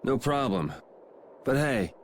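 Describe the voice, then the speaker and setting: A cool young adventurer speaks at an ordinary male pitch, blunt and wry. He sounds self-assured and casual, a game hero with some noise behind him. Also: expressive, takes it slowly. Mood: stern. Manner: casual, wry, plain-spoken. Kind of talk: video game cutscene acting.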